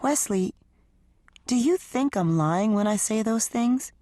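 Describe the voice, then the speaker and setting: A woman voicing an exhausted, stressed wife who speaks in a pleading, strained way, a little higher than her narration. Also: expressive, takes it slowly, dark tone, no room sound. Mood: upset.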